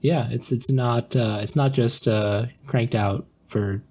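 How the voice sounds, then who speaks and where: A man chatting casually as a podcast panelist, halting and repeating words, with emphatic opinions. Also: medium pitch, steady tone, noisy background. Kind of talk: casual chat about visual novels.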